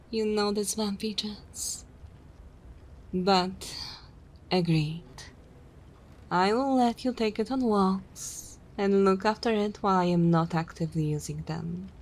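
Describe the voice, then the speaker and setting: A woman playing a haughty, teasing vampire mistress in an ASMR roleplay, speaking formally and imperiously in a soft, breathy way. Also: mid-range voice, slow. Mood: haughty, exasperated. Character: haughty, teasing female vampire mistress, possessive but willing to share.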